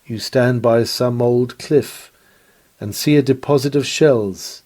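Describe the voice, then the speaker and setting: A man's voice: medium pitch, slow pace, darkish tone. A man reading an old-fashioned sermon aloud in an earnest, oratorical manner with formal, dramatic phrasing. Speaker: sermon reader, preacher. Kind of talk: dramatic read-aloud 19th-century sermon.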